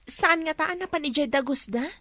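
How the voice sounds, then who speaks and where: A woman's voice: high voice, moderate pace, sharp tone, no echo. A woman with an Ilocano accent playing an eager, inquisitive young student in a radio drama. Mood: surprised. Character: eager young student, child of market vendor.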